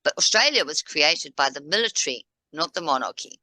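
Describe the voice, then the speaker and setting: A woman's voice: deep voice, expressive, fairly quick, pushed and bright, no room sound. A woman from Africa, chatting casually as co-host and rambling through history while pointing at a map. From South Africa. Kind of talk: casual discussion.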